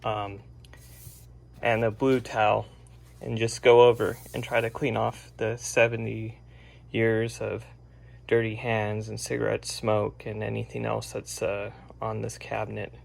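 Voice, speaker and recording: A man giving a casual, unscripted, step-by-step narration of hands-on repair work, with a gravelly, creaky voice. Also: on the low side, monotone, measured pace. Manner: plain-spoken, unscripted step-by-step explaining. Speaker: radio restorer presenting a repair vlog.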